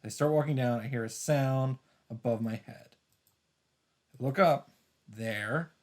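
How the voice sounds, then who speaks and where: A man's voice: mid-range voice, measured pace, a little mellow. A man hosting a let's play, reading visual novel narration aloud and adding dramatic asides as he reacts.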